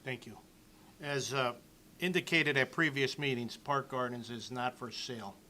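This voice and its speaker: A man's voice: medium pitch, normal speed, sharp tone, slight background noise. A man speaking as a housing authority official at a meeting, curt and firm.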